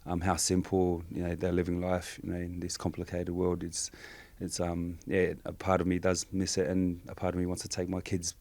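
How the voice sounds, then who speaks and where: A Samoan-born man, a Samoan speaker, answering interview questions softly and hesitantly with many fillers. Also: sits low, monotone, normal speed, hardly any pauses. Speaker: guest.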